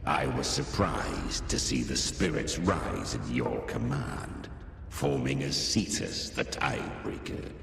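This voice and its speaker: A grave, cryptic video game character with an extremely low, heavily gravelled voice. He speaks formally and with composure, and the sound rings through a long, distant reverb. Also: big pitch swings, a bit bright, soft background music, heavily acted. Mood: cool, wary. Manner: measured, knowing, formal. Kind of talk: video game cutscene acting. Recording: echoey, distant hall with faint noise.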